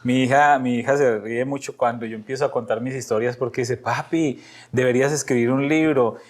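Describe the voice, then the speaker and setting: A man's voice: medium pitch, steady tone, fairly quick. Colombian man with paisa accent, telling stories. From Medellín, Antioquia, Colombia. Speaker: podcast guest. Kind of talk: casual personal storytelling.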